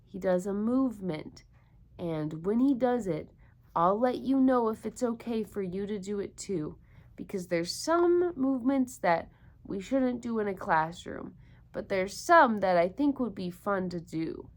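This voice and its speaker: A woman's voice: medium pitch, lively intonation, a little slow, darkish tone. A woman doing an interactive storytime for young children, encouraging them with repeated questions. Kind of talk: interactive picture-book read-aloud for young children.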